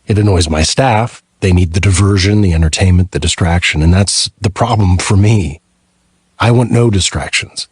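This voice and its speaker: A man's voice: low-pitched, normal speed, slightly rough, no room sound, studio quality. A man narrating a first-person thriller audiobook from a script.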